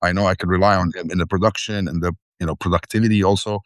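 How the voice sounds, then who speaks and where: A man from Syria speaks in a very deep register. He tells his story earnestly and rambles, often restarting his sentences. The recording is dry and close. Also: fairly even intonation, racing, dry recording. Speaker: band founder and vocalist. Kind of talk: interview guest, casual storytelling. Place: living in the Netherlands.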